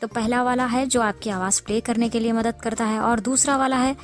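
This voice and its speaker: Higher-voiced woman teaching over music. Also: fairly even intonation, fairly quick. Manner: explanatory, repeats instructions. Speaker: tutorial presenter. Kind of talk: informal step-by-step app tutorial.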